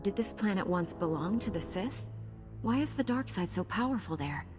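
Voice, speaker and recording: Woman voicing a jedi hero girl in a game, calm, earnest, steady, medium pitch, some music under it. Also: normal speed, lightly acted. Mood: calm resolve. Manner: composed, sincere, resolute. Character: earnest, steadfast heroic female Jedi knight. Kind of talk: video game voice acting.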